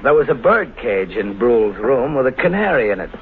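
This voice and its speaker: An actor playing a police lieutenant in a 1950s radio mystery, casually probing a suspect with pointed, insinuating questions. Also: male voice, medium pitch, big pitch swings, fairly quick. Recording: tinny, faintly hissy 1950s radio recording.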